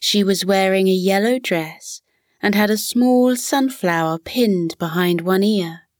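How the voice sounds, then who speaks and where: Slow, soothing woman bedtime narrator. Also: mid-range voice, dry recording, studio quality. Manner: soothing, measured. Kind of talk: scripted children's bedtime narration.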